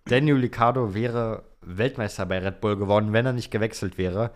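A man's voice: fairly low pitch, normal speed. A young man chatting casually and colloquially as a podcast co-host. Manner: colloquial, slangy. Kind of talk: casual chat about Formula One.

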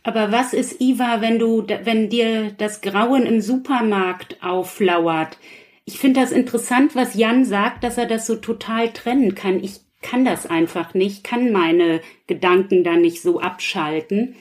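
A woman's voice: fairly high pitch, unhurried, a little mellow. A woman chatting informally in a discussion, asking questions and trailing off with small tag words. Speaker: thriller author on a panel. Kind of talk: casual discussion.

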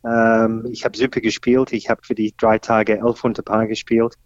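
A man's voice: lowish voice, flat delivery, dry recording, lo-fi sound. Hesitant man in his fifties. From Australia. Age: 50s. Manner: hesitant, many fillers and pauses. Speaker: golf teacher and senior champion guest. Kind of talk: podcast interview.

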